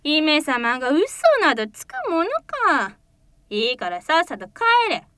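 A woman's voice: high-pitched, animated, measured pace, sharp tone, smooth, dry recording, studio quality, full character voice. A woman voicing a loyal servant of a rain-spirit princess, blunt and dismissive toward humans, in a voice drama. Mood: curt. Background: background music.

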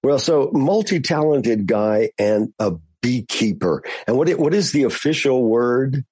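A man's voice: medium pitch, lively intonation, unhurried, darkish tone, breathy edge, no room sound. A man hosting a casual podcast with a nasal, stuffy voice while he recovers from flu, talking in a friendly, joking way. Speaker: podcast host.

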